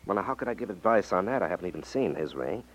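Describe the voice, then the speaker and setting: An actor playing a confident, cool-headed detective in 1950s radio style, firm and wry, on a muffled old recording. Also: male voice, sits low, lively intonation, very fast. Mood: composed. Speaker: radio drama actor, lead.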